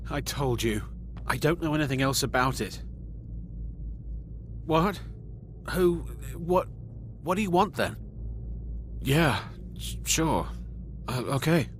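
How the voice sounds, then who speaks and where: A man's voice: a little high, animated, slow, pauses often, a little hiss or hum. An actor playing a wary male thief held prisoner in a fantasy video game dungeon. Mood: confused, defensive.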